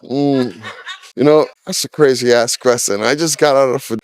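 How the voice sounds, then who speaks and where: Man in his sixties, from trinidad and tobago, smooth clear voice, candid and opinionated, dry close sound. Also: mid-range voice, normal speed, no echo. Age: 60s. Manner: candid, opinionated, with frequent "you know" fillers. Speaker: street interviewee. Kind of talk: casual street interview.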